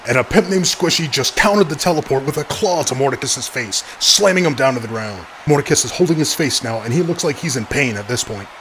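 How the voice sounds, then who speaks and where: Against heavy noise, a man acts as an earnest wrestling announcer with a mid-pitched voice. He calls the action of a fictional match play by play. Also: animated, fairly quick, few pauses, close to an everyday voice. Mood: focused. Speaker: wrestling commentator. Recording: very noisy background.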